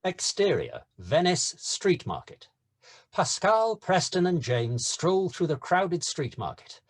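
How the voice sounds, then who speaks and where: A man's voice: fairly high pitch, wildly swooping pitch, takes it slowly. A man narrating scene headings and stage directions from a script.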